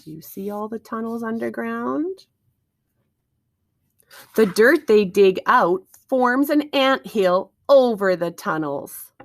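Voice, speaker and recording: A woman's voice: a little high, lively intonation, slow, no echo, studio quality. A woman reading aloud to young children like a teacher, expressively, with questions and wondering asides to her listeners.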